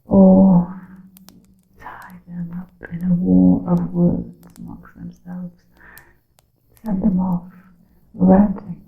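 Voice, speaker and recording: Slow whispering woman, muffled and echoey. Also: monotone. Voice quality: breathy whispering mixed with soft low voice. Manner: slow, hushed, theatrical, archaic storybook phrasing. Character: grave witch counseling her coven in secret. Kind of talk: whispered ASMR roleplay monologue. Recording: muffled lo-fi, echoing room.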